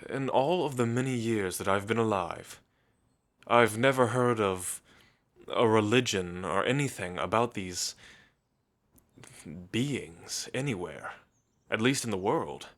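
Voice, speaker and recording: Guy doing a courtly ancient vampire for asmr, lowish voice, really slow, wistful and old-fashioned. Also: expressive, fairly bright tone. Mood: intrigued, courtly. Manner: measured, old-fashioned, hesitant pauses. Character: refined, centuries-old gentle male vampire, wistful and courtly. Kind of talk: intimate ASMR roleplay acting.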